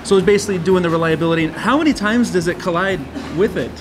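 Brief: thirties man, high-pitched voice, eager tech host, factory hum behind him. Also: fast talker. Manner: excited, repeats "really cool". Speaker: tech reviewer hosting a factory tour. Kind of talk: casual enthusiastic tour chat. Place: large indoor factory floor.

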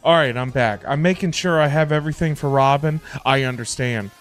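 A middle-aged man chatting casually to livestream viewers in an animated, emphatic way, often repeating himself for emphasis. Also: medium pitch, brisk. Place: indoor home streaming setup. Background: music.

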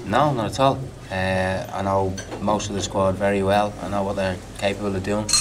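A man's voice: sits low, fairly even intonation, normal speed, distant mic, noisy background. A man speaking as a football manager in a post-match press interview, curt and blunt. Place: room with reporters, table. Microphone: reporters' phones held out.

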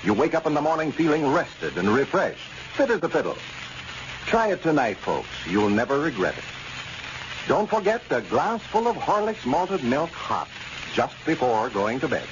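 A man's voice: fairly high pitch, huge pitch swings, normal speed. A man announcing in 1930s radio style, with folksy, polished sales patter and scripted narration. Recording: muffled, noisy old radio recording.

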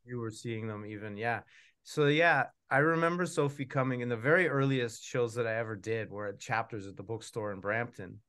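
A man's voice: mid-range voice, brisk, fairly bright tone, clean tone. A middle-aged man, casually hosting an online event from a room at home. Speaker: host, puppeteer and author. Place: home room on a video call.

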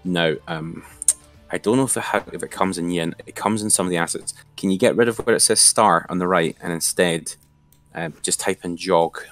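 A man's voice: low-pitched, moderate pace, fairly bright tone, dry recording, faint music behind. A man giving an informal, step-by-step screen-share tutorial as an instructor.

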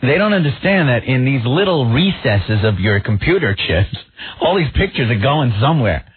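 Male radio co-host, 30s, animated and lively, laughing; lo-fi phone-like broadcast. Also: medium pitch, normal speed, husky, dry recording. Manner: animated, laughs a lot, mocks with put-on voices. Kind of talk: casual radio banter. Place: radio studio. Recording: tinny lo-fi radio broadcast with faint hiss.

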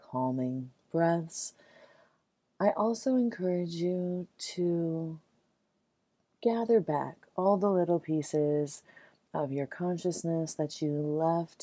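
Slow, low, soft-toned woman guiding meditation. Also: no room sound. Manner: soothing, gentle, measured with long pauses. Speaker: guided meditation leader. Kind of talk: guided meditation.

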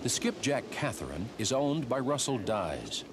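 A man narrating a documentary in a measured, scripted delivery. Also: medium pitch, sing-song, background noise.

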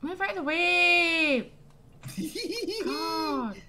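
A woman's voice: very high voice, drawn-out words, sharp tone, slight background noise. A woman hosting a let's play, chatting casually and exclaiming at what happens on screen. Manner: chatty, easily startled, exclaims at the game. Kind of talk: casual gameplay chat.

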